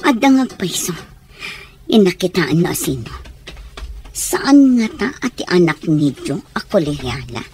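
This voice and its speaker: A woman playing a resentful, defensive mother in a radio drama, with a curt, scolding manner and husky voice. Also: fairly low pitch, sing-song, measured pace, long pauses, a little mellow, soft background music. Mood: irritated, scolding. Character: irritable, resentful mother who refuses her abandoned family.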